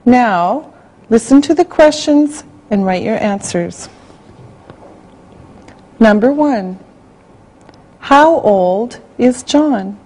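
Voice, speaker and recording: A woman reading a language-class listening exercise, spelling out words and dictating sentences slowly and carefully. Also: fairly high pitch, expressive, dark tone, breathy edge. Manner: slow, carefully spelled out, evenly paced. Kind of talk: slow scripted dictation.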